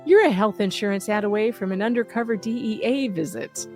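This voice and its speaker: A woman reading out a scripted satirical roast as an online host, with a sarcastic, punchy, emphatic delivery. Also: mid-range voice.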